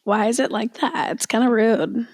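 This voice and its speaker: Hoarse raspy young woman, casual podcast chat, dry close sound. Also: fairly high pitch, steady tone, normal speed, no room sound. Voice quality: raspy, losing her voice. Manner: chatty, frequent "like" fillers. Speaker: podcast co-host.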